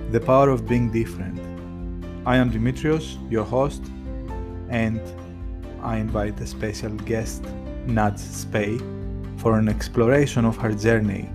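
Male podcast host over guitar music. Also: lowish voice. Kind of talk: scripted intro narration, then conversational interview. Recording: music playing under the voice.

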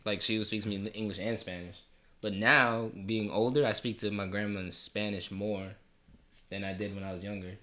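A young man with a lowish, bright-edged voice chats in a laid-back way. He drops slangy asides between casual remarks. His tone stays easygoing throughout. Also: steady tone, a little fast. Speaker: podcast co-host. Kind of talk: casual chat.

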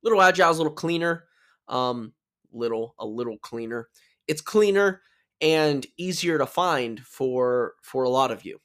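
A man's voice: medium pitch, expressive, moderate pace, a bit bright. A man hosting a solo podcast, explaining casually with restarts while nursing a sore throat from a cold. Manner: upbeat, chatty, with restarts and self-corrections. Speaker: podcast host.

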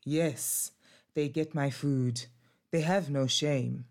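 Old male guard dog in a storybook, wary and stern, low unhurried voice, read by a woman. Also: darkish tone. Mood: grumbling. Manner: grave, cautioning.

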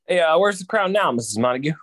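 A man playing a chatty, smooth-talking detective's sidekick in old-time radio style, ingratiating and full of small hesitations. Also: on the high side, animated, quick pace, a bit bright, no echo, studio quality. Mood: polite, chatty. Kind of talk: old-time radio comedy-drama acting.

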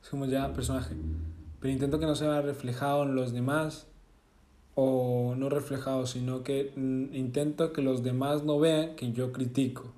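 A man's voice: mid-range voice, flat delivery, moderate pace, dark tone, reverberant. Earnest man, reflective vlog. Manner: casual and earnest, with self-corrections and tag questions. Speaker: vlogger. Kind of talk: reflective personal monologue.

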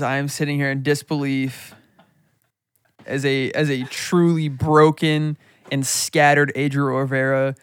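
A man's voice: a little high, dry recording. A young man hosting a casual podcast in a home studio, teasing his guest and slipping into mock-formal speeches. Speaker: podcast host.

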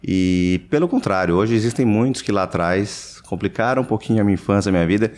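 Male guest, deep low voice, easy hesitant chat, from Brazil. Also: fast. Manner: relaxed, hesitant, many fillers. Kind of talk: casual interview chat.

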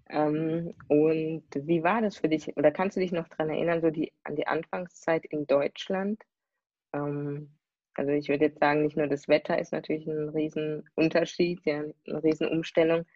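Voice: mid-range voice, little pitch movement, moderate pace, darkish tone, no echo. Podcast host with a dull, dry sound. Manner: hesitant questions with fillers. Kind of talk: casual interview questions.

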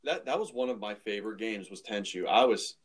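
Man, slightly low voice, podcast co-host, casual discussion. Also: animated, brisk, a crowd in the background.

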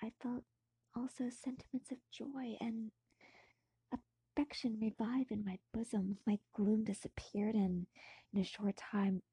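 A woman reading aloud and chatting as an audiobook podcaster, with an expressive manner. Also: a little high, vocal fry, husky, dry recording, poor audio. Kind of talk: literary narration and casual chatty asides.

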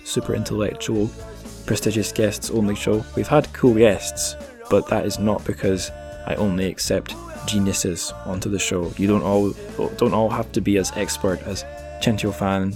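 A man hosting a podcast, chatting casually in a rambling, jokey, self-deprecating way. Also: lowish voice. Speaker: podcast host. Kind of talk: casual solo podcast outro, chatty plugs for the show.

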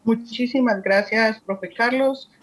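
A woman with a Colombian accent and a slightly husky voice, hosting an interview and introducing a guest. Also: a little high, slow, poor audio. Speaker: host, interviewer.

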